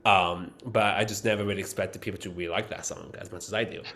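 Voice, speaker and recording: A man's voice: low voice, steady tone, fast, sharp tone. Man chatting eagerly as a podcast guest. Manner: thinks aloud, eager, musical fan. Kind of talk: casual chat.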